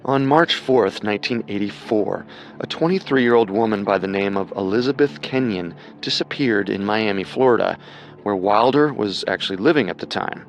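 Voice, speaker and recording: A man's voice: lowish voice, expressive, normal speed. A man co-hosting a true crime show, reading scripted narration in a dramatic storytelling manner.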